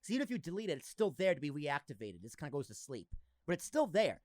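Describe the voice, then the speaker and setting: A man's voice: a little high, dry recording. A man hosting a podcast, chatting casually and animatedly. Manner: animated, quick talker. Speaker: podcast host. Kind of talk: casual chat.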